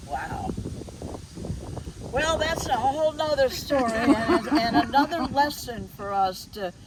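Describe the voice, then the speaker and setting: A woman's voice: high-pitched, expressive, takes it slowly, over a noisy crowd, low-quality recording. A woman interviewing someone in a reflective, conversational way, responding as she listens.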